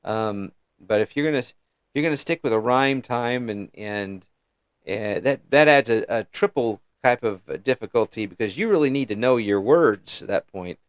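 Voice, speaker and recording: A man's voice: medium pitch, normal speed, dry recording. Middle-aged male host, casual and talkative, lots of restarts; dry, slightly dull recording. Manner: chatty, frequent restarts and affirming "yeah"s. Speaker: interview host. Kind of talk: casual interview.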